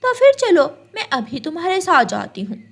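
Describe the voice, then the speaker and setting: A woman's voice: very high voice, huge pitch swings, normal speed, pitched above the performer's own voice, exaggerated voice. A woman voicing a vain, brazen, thieving female crow with a cocky manner in a cartoon. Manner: cocky, brash.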